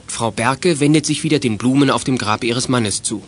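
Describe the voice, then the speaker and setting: A man narrating a German children's detective audio drama as a calm, scripted storyteller. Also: mid-range voice, moderate pace, close to an everyday voice. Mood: matter-of-fact.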